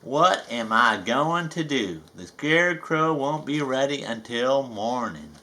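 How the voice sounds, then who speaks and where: A man's voice: mid-range voice, expressive, takes it slowly, sharp tone, full character voice. A man voicing a fretful older male farmer in a storybook reading, worried and grumbling.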